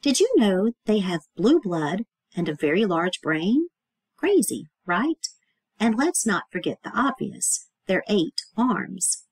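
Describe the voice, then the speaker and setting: Expressive, slightly husky woman teaching children. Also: medium pitch, takes it slowly, no room sound. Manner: expressive, child-directed, asks checking questions. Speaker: teacher reading aloud to young children. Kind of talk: read-aloud lesson with simple asides.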